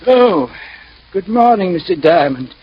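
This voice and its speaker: A man's voice: high voice, very animated, measured pace. A man playing a prim, deferential butler in old radio drama, formal, prissy and easily shocked. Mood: prim, discreet. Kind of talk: 1950s radio comedy-drama acting. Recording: muffled old radio, faint noise.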